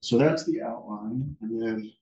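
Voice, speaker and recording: A man's voice: mid-range voice, a little mellow. Man lecturing in an echoey room. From United States. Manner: casual, halting, many fillers and restarts. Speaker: guest speaker, rangeland scientist. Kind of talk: academic seminar talk. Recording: echoey room audio from a distance, dull.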